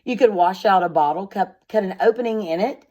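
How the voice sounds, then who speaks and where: A woman's voice: mid-range voice, brisk, warm tone, muffled recording. A woman speaking as a schoolteacher, reading aloud and explaining things in simple, instructive words addressed directly to young children.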